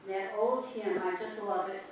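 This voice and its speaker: A woman narrating a church history play on stage, telling the story slowly and directly to the audience. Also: medium pitch, slight background noise. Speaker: narrator in a church reader's theater. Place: large church sanctuary. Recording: very echoey church from far off.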